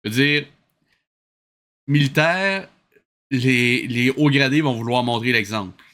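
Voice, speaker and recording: Bright-voiced sarcastic Quebec French man. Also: medium pitch, unhurried, slight background noise. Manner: sarcastic, incredulous. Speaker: host commenting on an old cartoon. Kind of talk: mocking reaction commentary, casual.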